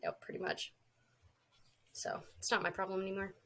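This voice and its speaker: A woman in her thirties co-hosting a casual podcast chat, a little congested from a cold, blunt and quick to swear. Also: mid-range voice, little pitch movement, bright, forceful tone. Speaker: podcast co-host.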